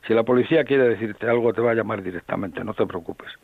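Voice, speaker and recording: An older man, a general appearing as guest expert, explaining calmly in a measured, didactic way with tag questions. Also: lowish voice, creaky, no room sound. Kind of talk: explaining on radio. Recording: phone-like line, dry.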